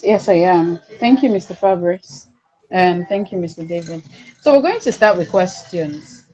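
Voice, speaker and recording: A woman with a somewhat low, mellow voice politely reads out questions as moderator. The sound is dull and lo-fi, as over a video call.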